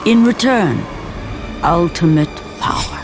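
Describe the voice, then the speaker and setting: A man's voice: high voice, expressive, slow pace. A man giving grave, dramatic scripted narration for a video game's story. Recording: game audio with loud music.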